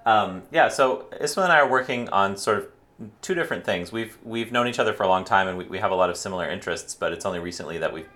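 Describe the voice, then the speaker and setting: A middle-aged man, a university lecturer, talking in a relaxed academic podcast chat and pausing often with fillers and restarts. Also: low voice. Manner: hesitant, many fillers and restarts.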